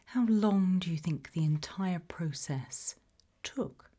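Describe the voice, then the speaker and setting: A British woman whispering in a breathy voice, asking gentle, measured questions as an interviewer in an ASMR roleplay. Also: lowish voice, expressive.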